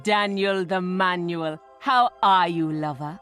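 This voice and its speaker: A woman's voice: fairly low pitch, slow, a bit bright, faint music behind. A woman playing a flirtatious, doting warrior woman, teasing and seductive, in a video game cutscene.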